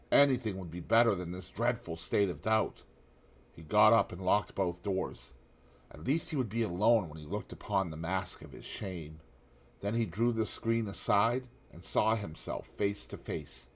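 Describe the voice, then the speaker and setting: A man with a somewhat low voice narrates a classic novel in a measured, steady reading. He lets his voice sink low and soft on grave passages. The delivery is scripted, unhurried audiobook narration. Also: breathy edge.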